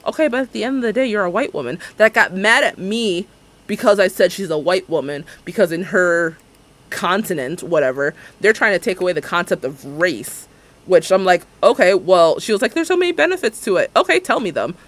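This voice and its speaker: A man in his twenties talks on stream in a slightly higher register. He vents at length, dropping into mocking impressions of what others said. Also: fairly quick. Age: 20s. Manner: ranting, animated, sarcastic, mimics others' words. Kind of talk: casual livestream chat while building in a game.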